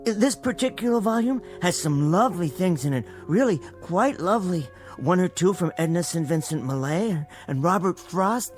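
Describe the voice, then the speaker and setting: An American actor playing a timid, bookish, henpecked middle-aged husband in a radio drama, with hesitant, stammering speech and a gentle dignity. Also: male voice, sits high, animated, moderate pace, hardly any pauses, soft background music. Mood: quietly pleased. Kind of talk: scripted radio drama acting.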